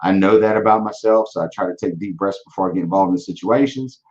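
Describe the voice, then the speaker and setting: A man's voice: low voice, fast, a little mellow, lo-fi sound. A man in his forties giving casual interview answers in a blunt, driven way, often repeating words as he restarts. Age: 40s. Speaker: auto dealership leader, guest.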